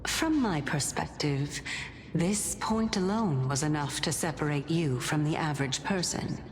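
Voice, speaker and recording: An actress playing a playful, curious deity of reason who teases and muses theatrically in a video game. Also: female voice, low voice, a little slow, slight background noise. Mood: amused musing. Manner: playful, theatrical, leisurely, musing. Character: teasing, curious female deity of reason living in a man's mind. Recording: long reverb, music underneath.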